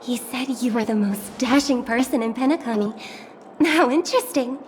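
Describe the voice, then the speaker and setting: A woman voicing a gentle, gracious young woman singer in a video game, speaking in a soft, courteous way. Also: on the high side, moderate pace, a little hiss or hum, lightly acted. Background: game music.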